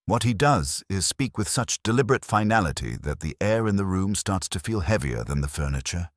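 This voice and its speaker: Very deep-voiced man narrating detective fiction. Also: very animated, brisk, no room sound, studio quality. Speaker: audiobook narrator. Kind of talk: scripted narration of a vintage detective story.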